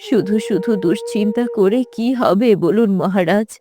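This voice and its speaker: A woman voicing a gentle, consoling queen in a cartoon folk tale. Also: medium pitch, moderate pace, music in the background, natural voice.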